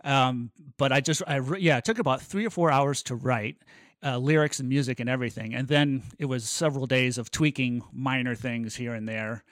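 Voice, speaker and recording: Mid-pitched man in casual conversation. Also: moderate pace, no echo, studio quality. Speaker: podcast co-host. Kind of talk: casual chat.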